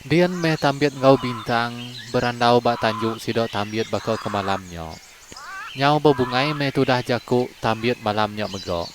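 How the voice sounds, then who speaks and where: A man's voice: medium pitch, moderate pace, noisy background. A man telling a long folk story in a conversational way as a channel storyteller, then asking listeners to like and subscribe. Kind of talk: told folk story in Iban.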